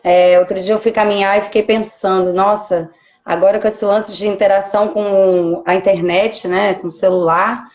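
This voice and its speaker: Uma educadora física que apresenta uma live e fala de forma descontraída e falante. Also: female voice, mid-range voice, normal speed. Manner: relaxed, chatty, many fillers. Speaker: physical educator and host. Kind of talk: casual live-stream interview.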